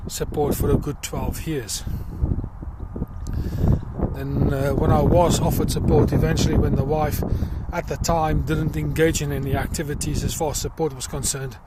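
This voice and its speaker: A middle-aged man with a South African accent, talking earnestly about himself in a personal vlog while out walking in a field, with many pauses and fillers. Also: a little high, little pitch movement, very noisy. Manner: earnest and reflective, pausing with fillers. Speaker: crowdfunding campaigner. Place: outdoors in a field.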